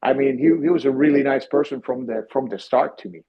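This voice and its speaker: Middle-aged finnish guy, husky voice, kinda muffled lo-fi sound, lots of stops and restarts. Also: medium pitch. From Finland. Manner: halting, many restarts and fillers. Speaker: guest, former team physiotherapist. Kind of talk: conversational storytelling. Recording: dull, lo-fi sound.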